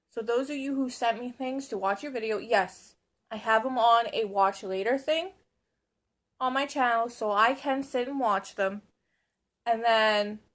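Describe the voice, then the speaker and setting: Casting note: a woman vlogger with a slightly high, hoarse voice, chatty and self-mocking. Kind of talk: casual vlog chat. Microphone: camera on a stand.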